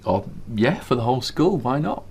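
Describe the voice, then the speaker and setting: A man's voice: mid-range voice, very animated, a little mellow, a little hiss or hum. An actor playing a middle-aged husband and father in a radio drama, dry and wry, later rallying his family with a plan. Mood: enthused. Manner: dry, wry, grumbly at first, then brisk and rallying. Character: greying husband and father, wry, then eagerly inspired.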